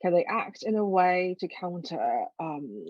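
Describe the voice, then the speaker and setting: A woman with a Hong Kong accent moderating a panel discussion, halting as she searches for words, on slightly dull audio. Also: on the low side, big pitch swings, no room sound.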